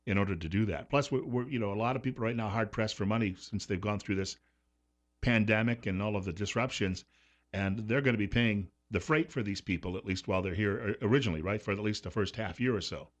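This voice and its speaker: A man hosting a talk radio show, chatting loosely with a guest and filling pauses with "uh" as he reads out call-in details and asks questions. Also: fairly low pitch. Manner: conversational, loose, with frequent fillers.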